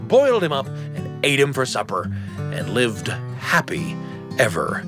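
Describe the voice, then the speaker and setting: A man's voice: medium pitch, huge pitch swings, a little slow, over music. A man hosting a radio storytelling show, narrating in a scripted but conversational, wry way. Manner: conversational, wry asides to the listener.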